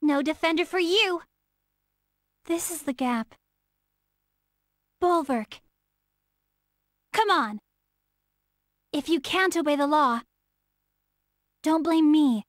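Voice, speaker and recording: A woman's voice: high voice, slow, long pauses, dry recording, studio quality. A woman playing an earnest, determined young female soldier in a fighting game, giving short, emphatic battle calls. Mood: calm, resolute.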